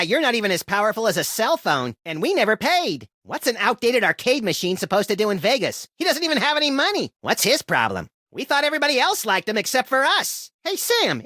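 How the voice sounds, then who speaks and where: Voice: medium pitch, huge pitch swings, a little fast, hardly any pauses, bright, forceful tone, no echo, clean studio sound. Manic little cartoon rabbit creature, wildly swooping voice. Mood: chatty, sarcastic, then cheeky, needling. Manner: zany, sarcastic, exaggerated delivery. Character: manic, mischievous small cartoon rabbit-like creature, gleefully violent sidekick. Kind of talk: video game character voice lines, comedic cartoon acting.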